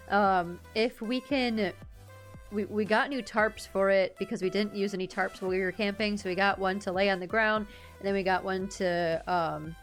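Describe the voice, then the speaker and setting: A woman in her twenties chatting casually with her live chat, rambling and laughing often. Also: medium pitch. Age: 20s. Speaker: streamer.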